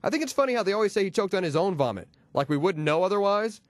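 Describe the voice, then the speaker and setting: A man's voice: high-pitched, big pitch swings, racing, dry recording. A man hosting a comedy radio show, delivering jokes and station patter in a punchy, wisecracking way on a close studio microphone. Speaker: radio host and DJ.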